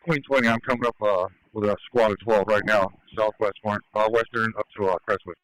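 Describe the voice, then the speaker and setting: A man's voice: lowish voice, fairly even intonation, fairly quick, fairly bright tone, smooth, dry recording, low-quality recording. A man speaking as a police officer over the radio, making urgent, direct requests. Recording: dry scanner radio audio.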